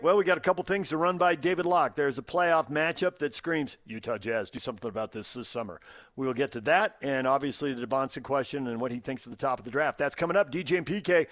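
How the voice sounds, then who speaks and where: A man's voice: a little high, fast talker, a bit bright, no echo, studio quality. A man working as a sports radio host, moving between conversational talk and emphatic station announcing.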